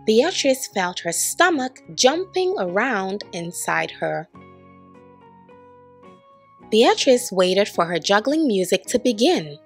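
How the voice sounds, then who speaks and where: A woman giving an expressive children's storybook read-aloud, putting on voices for the characters. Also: mid-range voice, slow, husky, faint music behind. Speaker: storybook reader.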